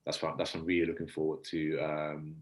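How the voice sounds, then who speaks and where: A man's voice: rumbling low, monotone, fairly quick. A young man of nineteen from Hungary with an accent mixing Hungarian and Birmingham, a goalkeeper answering earnestly and thoughtfully on a video call. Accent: Hungarian mixed with Birmingham. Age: under 20. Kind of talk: podcast interview answer.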